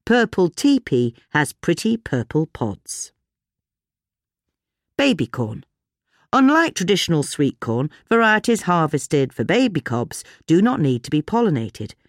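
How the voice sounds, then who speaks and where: Lady reading a gardening article, lowish voice, really varied intonation, dry sound. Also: no echo. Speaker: article reader. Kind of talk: scripted gardening narration.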